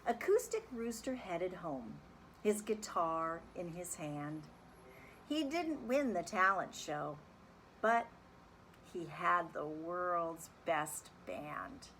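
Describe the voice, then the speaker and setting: A woman speaking as a music teacher, reading a children's story and explaining it in an animated, deliberate, instructive way. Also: lowish voice, slight background noise. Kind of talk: expressive children's read-aloud and lesson.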